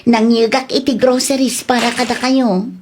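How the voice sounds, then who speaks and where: A woman acting an earnest grown daughter in a radio drama, with an Ilocano accent and husky voice. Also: a little high, flat delivery, normal speed, a little mellow, slight background noise, natural voice. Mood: apologetic, affectionate.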